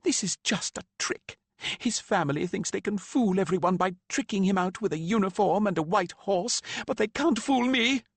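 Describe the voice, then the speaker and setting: A man's voice: high voice, moderate pace, no echo, pitched above the performer's own voice. A man voicing a grieving mother in mourning who harshly accuses and snarls at a rider. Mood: sneering rage. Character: grief-crazed mother in deep mourning, furious.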